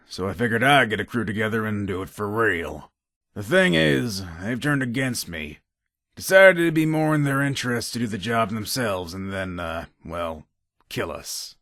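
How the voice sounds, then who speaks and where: Gravelly, low-voiced shrewd heist planner. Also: male voice, a bit bright, no room sound, studio quality. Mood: scheming, matter-of-fact. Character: shrewd wry male heist planner and gun dealer. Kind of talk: video game character dialogue.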